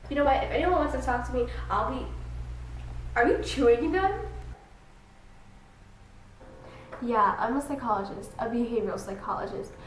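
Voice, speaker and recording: A woman's voice: fairly high pitch, a little fast, lightly acted. Young woman as blunt grumpy dwarf psychologist, roomy sound. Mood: exasperated. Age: young adult. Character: grumpy dwarf who works as a behavioral psychologist, exasperated and blunt. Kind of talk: student skit, scripted diagnostic monologue. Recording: roomy, distant camera mic with hiss.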